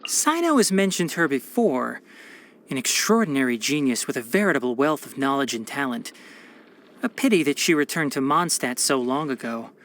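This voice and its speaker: A man's voice: medium pitch, big pitch swings, normal speed, a bit bright. A man voicing a brainy, caring young fox-eared forest watcher in a video game, articulate and bookish with a dryly sardonic manner. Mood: thoughtful. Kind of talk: scripted video game character voice lines.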